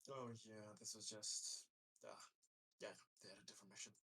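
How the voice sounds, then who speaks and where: A man chatting casually as a player in a tabletop game, offering halting, tentative suggestions. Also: on the low side, fairly even intonation, pushed and bright, husky. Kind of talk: casual chat.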